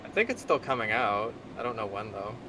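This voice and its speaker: A man's voice: fairly low pitch, very animated, fairly quick, pushed and bright, breathy edge, poor audio. A man chatting casually with friends.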